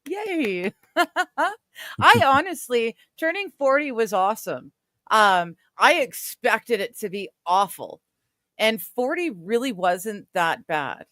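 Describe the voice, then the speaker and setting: A woman's voice: on the high side, expressive, slow pace, bright, forceful tone, dry recording, studio quality. A woman in her forties chatting casually with friends on a stream, laughing often and poking fun at herself. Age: 40s. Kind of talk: casual chat.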